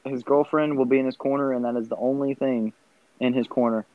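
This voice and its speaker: A young man hosting a casual podcast, moving the talk along with relaxed questions. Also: medium pitch, a little fast, narrow, tinny audio. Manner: casual, steering the topics with questions. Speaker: podcast host.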